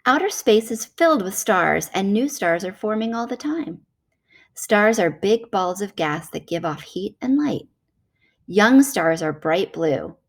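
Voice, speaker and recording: A woman reading a nonfiction children's book aloud in a measured, explanatory way. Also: medium pitch, expressive, vocal fry.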